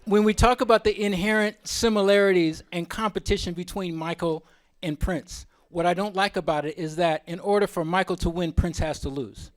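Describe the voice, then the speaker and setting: A man's voice: sits high, fairly even intonation, moderate pace. A man presenting at a symposium in an informal, opinionated way, often wandering into asides.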